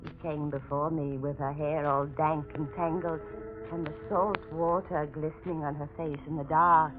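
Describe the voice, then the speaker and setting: An actress playing an uncanny, superstitious housekeeper in a 1940s radio mystery, solemn and insistent in old-fashioned phrasing. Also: female voice, low voice, steady tone, moderate pace, music in the background, natural voice. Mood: eerie, solemn. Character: uncanny, superstitious housekeeper who sees apparitions. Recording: muffled, noisy 1940s radio recording.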